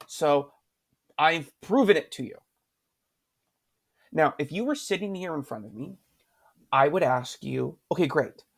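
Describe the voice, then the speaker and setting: Gravelly-voiced male teacher walking through a lesson. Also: a little high, animated, measured pace, long pauses. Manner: patient, repeats questions to prompt students. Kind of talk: screen-recorded writing lesson.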